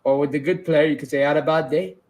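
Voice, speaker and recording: A man's voice: on the high side, fairly even intonation, quick pace, a little mellow. A man from Saudi Arabia with a British-influenced accent, co-hosting a casual livestream chat and bantering a lot, with plenty of "bro" and "you know what I mean". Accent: British-influenced English. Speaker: co-host, channel owner.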